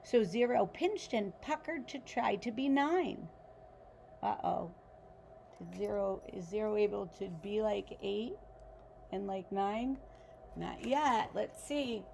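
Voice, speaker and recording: A woman reading a children's picture book aloud like a teacher, expressively and deliberately, with questions to the listeners. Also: mid-range voice, creaky, a little hiss or hum. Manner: animated, deliberate, pauses to ask listeners questions.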